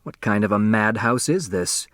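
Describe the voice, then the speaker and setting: A man voicing a gruff county sheriff in an audiobook, suspicious, irritated and grumbling. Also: fairly low pitch, normal speed, fairly bright tone, dry recording, clean studio sound, natural voice.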